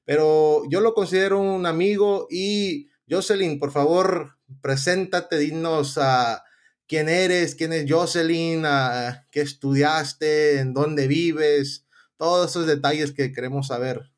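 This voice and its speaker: A man's voice: on the high side, takes it slowly. A man with a Mexican accent hosting a casual podcast interview, chatty and rambling, with many restarts. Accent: Mexican Spanish. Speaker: podcast host.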